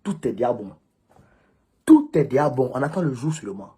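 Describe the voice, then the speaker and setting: A man with a West African French accent delivering an informal political monologue as a commentator, emphatic and repeating phrases for stress, with a husky voice. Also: mid-range voice, big pitch swings, fairly quick, mellow.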